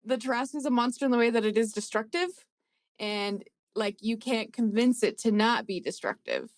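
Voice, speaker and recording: High-voiced woman thinking aloud. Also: animated, moderate pace, dry recording, studio quality. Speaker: podcast panelist. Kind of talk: casual panel discussion.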